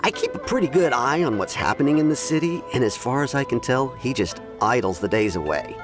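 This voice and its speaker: A man voicing a calm, thoughtful townsman. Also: medium pitch, natural voice. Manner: thoughtful, measured, hesitant. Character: calm, observant townsman, measured and polite. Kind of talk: video game voice acting. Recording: game music behind.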